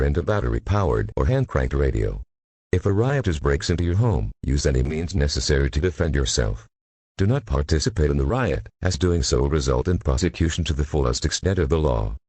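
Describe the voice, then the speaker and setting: Very deep, husky male alert announcer. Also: a little mellow, no room sound. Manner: formal, impersonal. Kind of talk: scripted emergency alert narration.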